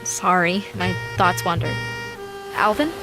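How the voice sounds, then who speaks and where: An actress playing a dreamy, romantic female astronaut in an audio sci-fi drama, speaking in halting, wistful musings. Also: on the low side, animated. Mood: dreamy, tender. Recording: music behind the voice.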